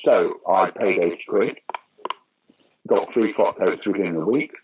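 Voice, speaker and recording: An older man with a fairly low voice, spinning chatty theatre anecdotes and slipping into the voices of the people he quotes. The audio is dull and lo-fi, with a faint hiss underneath. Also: measured pace. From Britain. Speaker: interviewee, actor and pantomime director. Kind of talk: casual anecdotal interview.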